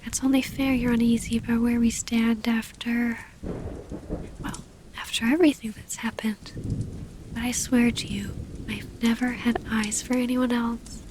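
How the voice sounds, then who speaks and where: A woman playing a loving, formal, wistful female vampire in an intimate ASMR roleplay, often breathy and close to a whisper. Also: a little high, measured pace, natural voice. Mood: tender, reassuring. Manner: formal, old-fashioned, intimate phrasing. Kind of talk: ASMR romantic audio roleplay. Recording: steady rain ambience, faint noise.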